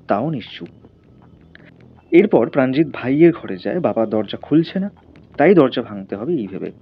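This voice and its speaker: A man's voice: mid-range voice, slightly rough, highs cut off. A man narrating a scripted audio story in a dramatic storytelling style.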